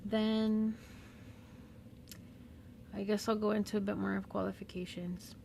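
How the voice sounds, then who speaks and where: A woman with a light Southern California accent, whose first language is Spanish, muses aloud without a script. Her voice carries heavy vocal fry and stays fairly level in pitch. A faint hiss sits under the recording. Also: flat delivery, a little mellow. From Mexican-American. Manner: slow, reflective, with pauses and fillers. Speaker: podcast host, therapist. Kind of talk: unscripted personal monologue.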